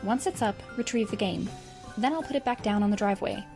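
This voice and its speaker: A woman playing a composed, pragmatic teenage girl with a dry, precise, deadpan manner in a web audio drama. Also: mid-range voice, fast talker, vocal fry, faint music behind, natural voice. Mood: commanding.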